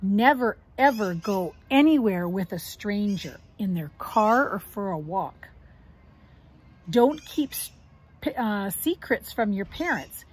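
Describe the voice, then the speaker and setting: A middle-aged woman reading a children's storybook aloud in an expressive, instructive way, as if to young grandchildren. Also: medium pitch, takes it slowly, husky, poor audio. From Canada. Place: outdoors on a wooden deck among trees.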